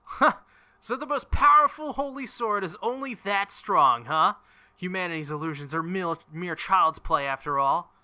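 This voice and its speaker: A man's voice: high voice, lively intonation, moderate pace, bright, forceful tone, voice pushed up, heavily acted. A man voicing an arrogant, mocking male villain from a visual novel game, haughty and sneering. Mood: scornful.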